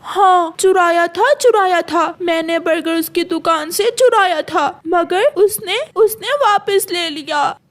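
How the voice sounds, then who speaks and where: Woman voicing a very high, sulky little boy bird. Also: a bit bright, exaggerated voice. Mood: upset. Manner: slow, repetitive, childish complaining. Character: young boy bird, sulky, stubborn and whiny. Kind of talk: children's cartoon dialogue.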